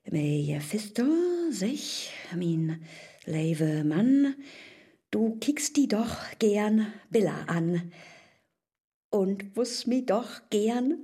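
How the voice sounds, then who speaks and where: An actress playing a sly, bold woman scheming in a comic rhyming radio play, speaking northern Low German with a husky voice and slipping into conspiratorial whispers. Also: animated, very slow, mellow, exaggerated voice. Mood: mock seductive.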